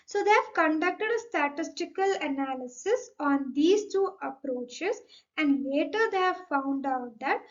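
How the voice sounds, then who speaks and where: A woman's voice: high voice, lively intonation, unhurried. A young woman gives a formal, scripted academic presentation, explaining point by point as a student presenter. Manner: formal, explanatory, starts sentences with "so". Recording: dull, muffled lo-fi audio.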